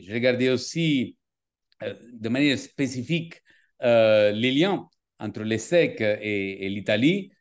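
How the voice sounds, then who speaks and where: Middle-aged man with an Italian accent. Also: mid-range voice. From Capri, southern Italy. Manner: halting, with frequent repetitions. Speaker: business school director general giving an opening address. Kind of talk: welcome speech at a seminar.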